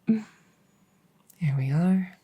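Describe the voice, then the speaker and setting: A woman playing a devoted, doting vampire maid in a soft-spoken ASMR roleplay, gentle and deferential, often slipping into a whisper. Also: lowish voice, expressive, breathy edge, highs cut off, full character voice. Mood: gentle, musing. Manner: gentle, unhurried, deferential, formal.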